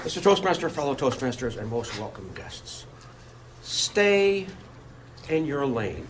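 An older American man giving an emphatic public speech with personal anecdotes and dramatic pauses. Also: medium pitch, big pitch swings. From Buffalo, United States. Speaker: club speaker. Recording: noisy live room recording.

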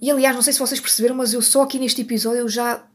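A woman with a European Portuguese accent and a slightly high voice hosts a podcast. She talks off the cuff, loses her thread, corrects herself and laughs at her own slips. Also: steady tone, racing, husky. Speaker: podcast host. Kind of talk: unscripted solo podcast chat.

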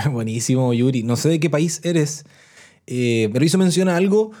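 A man's voice: a little high, animated, normal speed, mellow. A Chilean man hosting a podcast in a chatty, casual way, often restarting his phrases as he introduces listener messages. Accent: Chilean Spanish. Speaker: podcast host.

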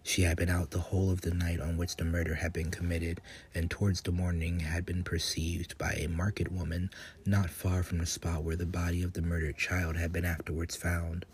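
A man giving a measured, solemn reading in the style of a gothic audiobook. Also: sits low, monotone. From United States, Louisiana. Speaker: guest reader, horror writer.

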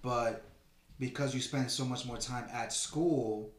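A man's voice: mid-range voice, fairly even intonation, takes it slowly, reverberant, crowd noise. A young man from Bogotá, co-hosting a casual chat and explaining things in a casual, slangy way. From Bogotá, Colombia. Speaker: podcast co-host.

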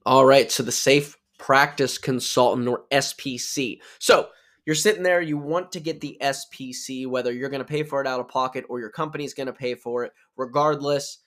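A man's voice: medium pitch, fairly even intonation, moderate pace, fairly bright tone. A man hosting a solo podcast, explaining casually with restarts while nursing a sore throat from a cold. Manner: upbeat, chatty, with restarts and self-corrections. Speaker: podcast host.

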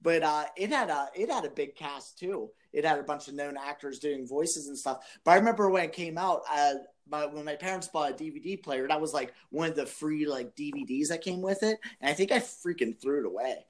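Higher-voiced man chatting casually on a podcast. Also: brisk, few pauses.

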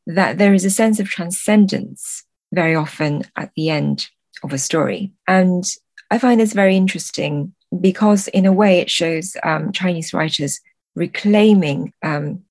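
A woman with a low voice speaks as a guest in a thoughtful interview. She explains her ideas in a measured, articulate manner. The recording is dry, with hardly any room sound. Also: a little mellow, no room sound. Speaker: guest translator.

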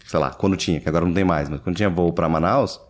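A man talking as a guest in a casual interview, relaxed, with many fillers and pauses. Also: low voice. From Brazil.

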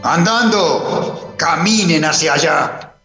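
Un actor de radionovela con acento colombiano interpretando a un secuestrador armado, frío y burlón, que da órdenes y amenazas secas. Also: male voice, a little high, slow, vocal fry, heavily acted. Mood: commanding. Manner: barking curt orders and threats. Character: menacing male armed kidnapper, cold and mocking. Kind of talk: radio drama acting. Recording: echoey, with loud music behind.

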